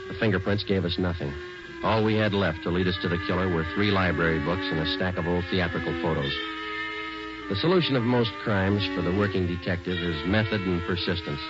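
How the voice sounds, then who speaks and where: An actor playing a stoic police detective in a 1940s radio drama, narrating in a clipped, matter-of-fact way. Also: male voice, low-pitched, close to an everyday voice. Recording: muffled old radio recording with loud music.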